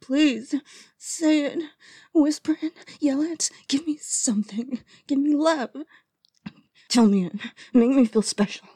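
A woman voicing an unstable, possessive female lover in an audio roleplay, speaking in a breathy, often whispered voice. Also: high-pitched, warm tone, no room sound, studio quality. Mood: desperate pleading. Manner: slow, intimate delivery that swings to desperate pleading.

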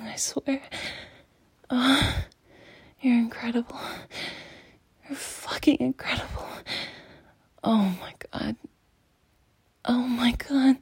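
Breathy, whispering woman, slow and intimate. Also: fairly high pitch, darkish tone, dry recording. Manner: slow, intimate, teasing, sighing. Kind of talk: intimate erotic audio roleplay, ASMR-like whispering.

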